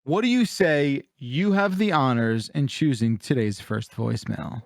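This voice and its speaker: A man's voice: medium pitch, unhurried, vocal fry, no echo, studio quality. A man hosting a podcast, chatting casually and comedically with the easy looseness of someone telling anecdotes. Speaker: podcast host.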